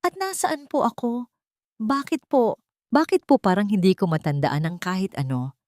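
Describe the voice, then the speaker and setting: A woman's voice: medium pitch, lively intonation, moderate pace, darkish tone, no echo, studio quality, voice pushed up, close to an everyday voice. Lady narrator doing a scared innocent young woman, faint and confused, polite, audio story. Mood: bewildered. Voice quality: faint, whispery. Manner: polite, bewildered. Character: frightened, innocent young woman with lost memory.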